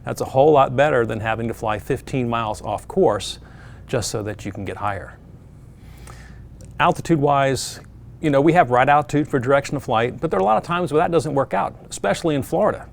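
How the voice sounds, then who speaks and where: A man's voice: mid-range voice, fairly quick, vocal fry. A middle-aged man, an air traffic controller, giving plain practical advice to pilots with frequent fillers. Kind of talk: explaining procedures to pilots.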